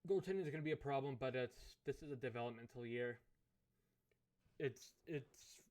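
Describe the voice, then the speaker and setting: A man with a hoarse, fading voice, thinking aloud as he commentates a hockey management game. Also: mid-range voice, a little mellow. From Canada. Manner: musing aloud, unhurried, thinking through choices. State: hoarse, losing his voice. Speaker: gaming commentator. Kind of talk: let's play commentary of a management sim.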